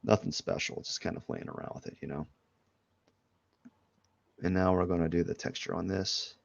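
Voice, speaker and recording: A man with a cold talks in a low, gravelly voice, sniffling as he rambles loosely through his drawing steps. The sound is dry and dull, like lo-fi stream audio with no room echo. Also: steady tone, moderate pace. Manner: loose and rambling, many fillers. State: has a head cold, sniffling. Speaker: artist streaming a drawing session. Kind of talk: casual live drawing commentary.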